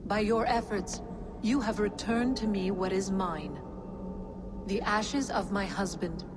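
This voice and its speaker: An actress playing a grieving, determined mystical widow in a fantasy video game, speaking gravely and deliberately. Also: female voice, medium pitch, background noise, lightly acted. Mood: solemn. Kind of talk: dramatic video game acting.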